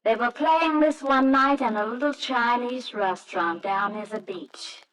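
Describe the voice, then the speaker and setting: An actress playing a gentle, demure young wife wistfully recalling her courtship in an old-time radio drama. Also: a little high, measured pace, slight background noise, poor audio. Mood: wistful, reminiscing.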